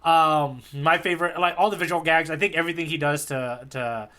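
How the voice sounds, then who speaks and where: A man's voice: fairly high pitch, steady tone, brisk, sharp tone. A man co-hosting a podcast, chatting casually about comics in a rambling way that often repeats words. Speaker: podcast co-host. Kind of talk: casual chat about a manga.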